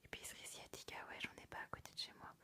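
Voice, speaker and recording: A woman whispering as an ASMR streamer, chatting casually and answering viewer comments, rambling with many fillers. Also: rapid-fire. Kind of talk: whispered ASMR live chat.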